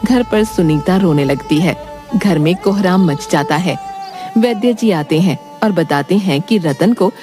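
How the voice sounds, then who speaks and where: A woman's voice: on the low side, measured pace. A woman narrating a scripted children's cartoon story in Hindi. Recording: music behind the voice. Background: background music.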